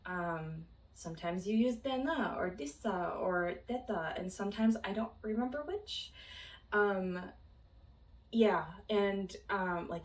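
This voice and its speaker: A woman's voice: medium pitch, recorded from a distance. A young woman from Minnesota chatting casually in a vlog, rambling with many ums and small laughs. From Minnesota, United States. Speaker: vlogger. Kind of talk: casual chat. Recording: roomy, from off the mic.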